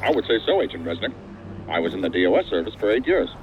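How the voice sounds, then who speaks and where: Male federal agency boss, very low and fast-talking, dryly defiant, sci-fi audio drama. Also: big pitch swings, dull audio, music in the background. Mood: brisk, firm. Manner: dry, formal, authoritative. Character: unflappable, dryly defiant male assistant director of agents. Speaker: actor in a science fiction audio drama.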